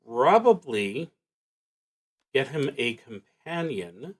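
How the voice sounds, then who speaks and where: A man narrates a solo tabletop roleplaying session at a slow, deliberate pace. His voice sits at an average pitch, and he thinks aloud as he plays, pausing hesitantly between phrases. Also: a bit bright. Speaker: solo roleplaying game streamer. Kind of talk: live narration of a solo tabletop card game.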